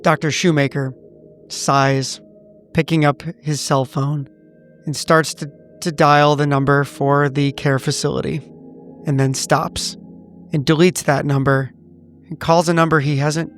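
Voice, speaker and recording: A man's voice: a little high, flat delivery, measured pace, faint music behind. A man playing a tabletop game, narrating what his character does in the third person.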